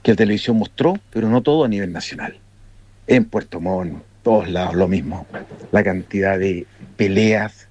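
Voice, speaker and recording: A man's voice: low-pitched, normal speed, a little mellow, lo-fi sound. A man with a Chilean accent giving his opinion informally to a show, in a colloquial, emphatic and repetitive way.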